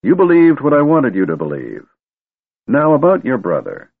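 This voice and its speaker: A man's voice: mid-range voice, wildly swooping pitch, moderate pace, no echo, pitched above the performer's own voice. A man voicing a wise, eccentric old wizard who is secretly a god, testy and stern in turn. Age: older. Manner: cranky yet wistful, scolding. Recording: tinny phone-like dry recording.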